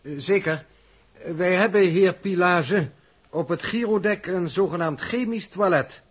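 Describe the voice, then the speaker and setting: A man's voice: sits high, a little slow, breathy edge. An actor in a 1960s radio drama playing an earnest scientific crewman who speaks pedantically with small hesitations. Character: earnest, scientific-minded male spaceship crewman.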